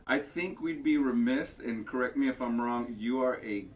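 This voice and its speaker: A man's voice: medium pitch, normal speed, over a noisy crowd. A man hosting a casual talk show, asking questions in an easygoing way. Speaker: podcast host. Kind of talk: casual chat.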